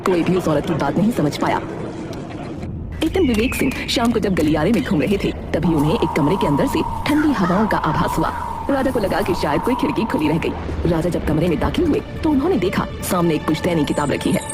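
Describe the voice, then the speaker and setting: A woman's voice: lowish voice, sing-song, brisk. A woman narrating a scripted fairy tale in storybook style. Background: music.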